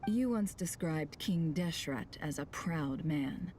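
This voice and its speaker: An actress voicing a composed, shrewd young woman in a video game, measured and self-assured. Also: deep voice, lively intonation, mellow, a little hiss or hum. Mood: confident. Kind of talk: scripted video game voice acting.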